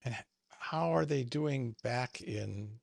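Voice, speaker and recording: A man's voice: mid-range voice, takes it slowly, gravelly, dry recording. A man interviewing a filmmaker and asking long, rambling questions.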